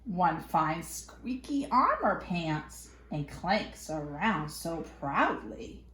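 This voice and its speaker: A woman reading a picture book aloud to young children in an expressive, playful way with dramatic emphasis. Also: on the low side, drawn-out words. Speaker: children's storyteller. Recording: echoey room.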